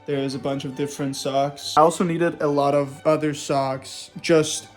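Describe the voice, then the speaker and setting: A man's voice: a little high, little pitch movement, normal speed, faint music behind. A man who streams, narrating a vlog in a casual, self-deprecating way.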